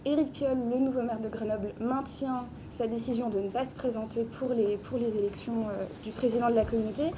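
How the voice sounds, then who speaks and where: A woman's voice: fairly even intonation, fairly quick, few pauses, crowd noise. A woman giving a structured, academic public presentation of a research study in an explanatory way. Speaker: conference speaker presenting research. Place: public book presentation in Paris venue. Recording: clearly noisy live venue with rumble.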